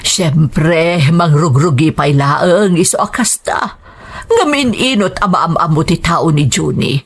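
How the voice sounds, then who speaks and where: A woman's voice: deep voice, lively intonation, takes it slowly, breathy edge, full character voice. An actress with an Ilocano Filipino accent playing a supportive, reassuring mother of a grown son in a radio drama. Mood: proud.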